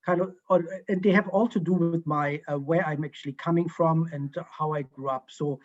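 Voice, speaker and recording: Man, German accent, fairly high voice, hesitant with many fillers, dull lo-fi video call sound. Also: steady tone. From East Germany. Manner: halting, many ums and uhs, restarts. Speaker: guest historian. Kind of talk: Q&A answers over a video call. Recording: muffled video call audio.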